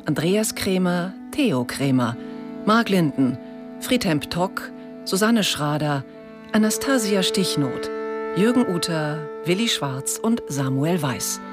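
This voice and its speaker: A radio announcer reads out production credits in a scripted style. The voice sits at medium pitch, with loud plucked guitar music playing along.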